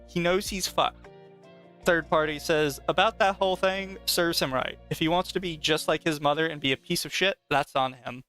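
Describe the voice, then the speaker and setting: A man co-hosting a podcast in casual chat, often reading aloud with a mocking, profane manner. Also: fairly high pitch. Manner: mocking, profane, laughs easily. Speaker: podcast co-host. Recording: soft background music.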